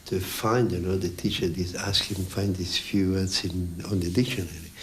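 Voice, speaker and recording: A man recounting an event in an interview, halting and repeating words as he goes. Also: low-pitched, fairly even intonation, a little hiss or hum.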